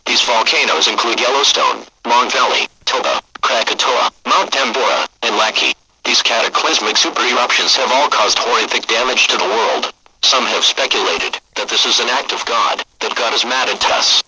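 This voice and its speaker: A man's voice: mid-range voice, very noisy, natural voice. A man playing a grave, impersonal emergency broadcast announcer, reading a scripted alert in a formal, solemn manner.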